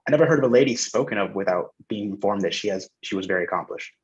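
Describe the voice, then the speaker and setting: A man playing a cheerful, artless young gentleman in a period drama reading, eager and hurried. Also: fairly low pitch, slightly rough, highs cut off.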